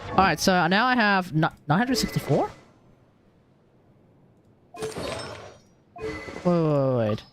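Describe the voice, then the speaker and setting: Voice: medium pitch, big pitch swings, takes it slowly, long pauses, a bit bright. Aussie streamer mumbling to chat while gaming, casual, light swearing, music in the back. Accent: Australian. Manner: muttering to self, mildly swearing. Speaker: livestream host, gamer. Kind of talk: casual gaming commentary, thinking aloud. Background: video game music.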